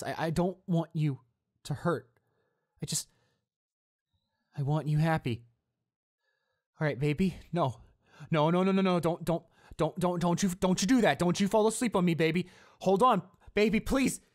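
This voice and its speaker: A man playing a devoted, anxious young boyfriend in an intimate audio roleplay, speaking tenderly and haltingly with repeated reassurances. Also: fairly high pitch, a little mellow, close to an everyday voice. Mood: anxious, urgent. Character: devoted, anxious young boyfriend comforting his hurt girlfriend. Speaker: voice actor.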